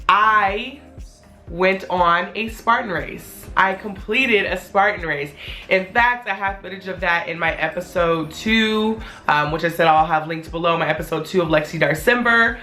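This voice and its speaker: A woman in her twenties talking casually to her viewers in a vlog, her voice a little hoarse as it returns, chatty and candid. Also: fairly low pitch, bright, forceful tone. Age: 20s. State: hoarse, voice not fully back. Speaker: vlogger.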